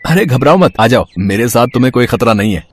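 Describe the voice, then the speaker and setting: A man's voice: medium pitch, animated, fast, natural voice. A man playing a rich, casual college senior who coaxes and flirts in a story cartoon. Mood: friendly, coaxing. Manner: casual, persuasive. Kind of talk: Hindi moral story cartoon acting.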